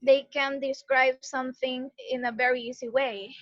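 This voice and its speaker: A woman with a Panamanian accent speaks in a high voice over a dull online call. She is a kindergarten teacher putting a question to the presenter. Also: level tone, moderate pace. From Chiriquí, Panama. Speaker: kindergarten teacher, workshop participant. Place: online call from a rainy place. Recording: muffled online call audio.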